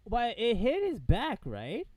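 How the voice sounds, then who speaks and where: A man's voice: very high voice, huge pitch swings, takes it slowly, a little mellow, dry recording. A man chatting casually as a gaming co-host, slangy and sweary, talking like a bro. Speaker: co-host reacting to a game. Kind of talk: casual banter with profanity.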